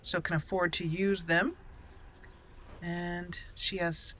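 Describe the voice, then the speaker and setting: A woman's voice: lowish voice, flat delivery, slow pace, a bit bright, a little hiss or hum. A woman hosting a gaming channel, giving casual gameplay commentary in a chatty, playful way. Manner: chatty, playful, laughs at her own jokes. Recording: faint game music behind.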